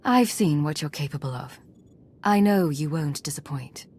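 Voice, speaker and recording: A woman's voice: low voice, expressive, moderate pace, darkish tone, breathy edge. A woman playing a steadfast, principled agent commander in a sci-fi game, controlled and serious. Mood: calm support. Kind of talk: video game cutscene acting.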